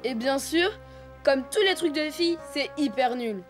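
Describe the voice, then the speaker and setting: A bossy young elephant boy from a children's cartoon. The voice is high and dismissive, full of scornful swagger, with music clearly behind it. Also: animated, moderate pace. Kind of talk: French children's cartoon dubbing.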